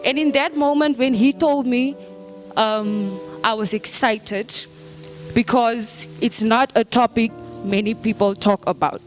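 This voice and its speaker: A woman's voice: on the high side, steady tone, measured pace, soft background music. A young woman of eighteen, a school deputy head girl, giving an earnest, storytelling speech at a book launch.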